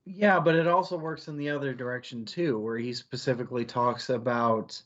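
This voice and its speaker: A man's voice: medium pitch, fairly even intonation, normal speed, a bit bright. Reflective man, slightly muffled stream sound. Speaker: co-host of a book club stream. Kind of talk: casual book discussion.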